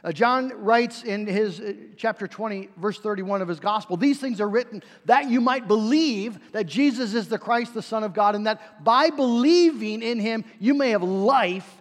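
A man's voice: high voice, moderate pace, few pauses. A man preaching a sermon in a conversational way, with frequent fillers and restarts. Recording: reverberant hall.

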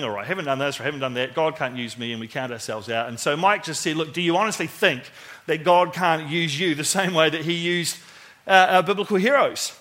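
A male preacher with a high, forceful voice addresses his congregation. He is informal and enthusiastic, mixing conversational teaching with jokes and questions to the listeners. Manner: enthusiastic, joking, asks the audience questions. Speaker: preacher speaking to a church congregation. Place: speaking live to a gathering at church.

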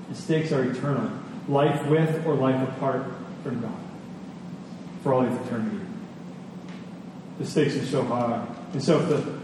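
A man's voice: mid-range voice, heavy reverb, long reverb tail. Man, preacher, earnest sermon delivery, emphatic repetition, distant from the mic, faint background noise.

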